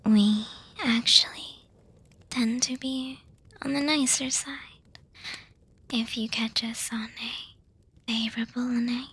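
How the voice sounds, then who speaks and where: A woman voicing an affectionate, teasing young vampire girlfriend in a breathy, hesitant whisper for an ASMR roleplay. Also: a little high, fairly even intonation, heavily acted. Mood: gentle explaining. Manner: slow, hesitant, gently reassuring with soft hums.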